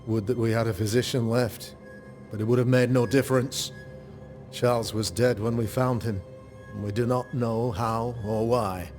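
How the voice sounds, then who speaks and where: An actor playing a courteous, self-satisfied colonial governor in a period game drama, speaking in formal, wordy phrases. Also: male voice, mid-range voice, fairly even intonation, normal speed, a little mellow, natural voice. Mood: solemn. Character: pompous, courteous colonial governor of middle age. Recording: music under the voice.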